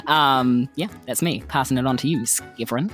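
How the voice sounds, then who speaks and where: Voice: medium pitch, moderate pace, a bit bright, faint music behind. A podcast player, chatting casually in a dry, jokey way. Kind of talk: casual chat.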